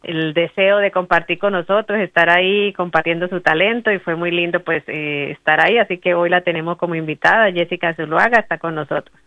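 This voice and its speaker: A woman co-hosting a radio show, speaking softly and with pauses while introducing a guest. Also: fairly low pitch, no echo. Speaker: radio co-host. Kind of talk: casual radio chat. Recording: dry, phone-line sound.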